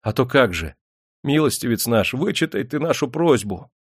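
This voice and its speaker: A man's voice: medium pitch, expressive, moderate pace, breathy edge, no room sound. A male narrator plays a humble serf miner in a historical audiobook. He pleads earnestly in simple peasant speech, recorded dry and close. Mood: pleading. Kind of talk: dramatised audiobook character voice.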